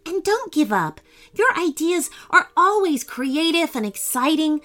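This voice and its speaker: A woman voicing a kind, curious mermaid in a children's story, gentle and encouraging. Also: extremely high pitch, very animated, a little slow, voice pushed up, full character voice. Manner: bright, gentle, inquisitive.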